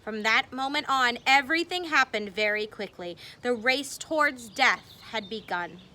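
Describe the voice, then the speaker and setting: A woman reading a memoir aloud expressively, with deliberate phrasing and dramatic emphasis. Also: a little high, lively intonation, sharp tone. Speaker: reader.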